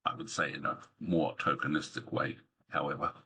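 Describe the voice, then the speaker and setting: A man's voice: rumbling low, fairly even intonation, sharp tone, husky. A middle-aged man with a New Zealand accent giving thoughtful, hesitant interview answers as an academic guest. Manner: hesitant, pausing often.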